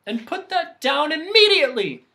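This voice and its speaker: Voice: high voice, big pitch swings, normal speed, pushed and bright, pitched above the performer's own voice, full character voice. A storyteller voicing a stern, bossy woman who keeps sounds, speaking in a commanding, scolding way.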